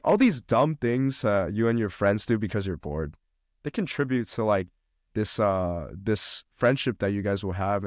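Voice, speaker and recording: A man's voice: on the low side, no room sound, clean studio sound. A young man from China, a podcast guest chatting casually about college life, with lots of fillers and restarts. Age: young adult. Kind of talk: casual conversation.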